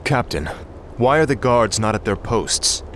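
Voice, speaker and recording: Young warrior prince, driven, authoritative, animated intonation, fantasy game, faint noise behind. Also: male voice, medium pitch, unhurried. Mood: stern. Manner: commanding, intense, resolute. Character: proud, driven young human prince commander. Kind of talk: dramatic fantasy game dialogue.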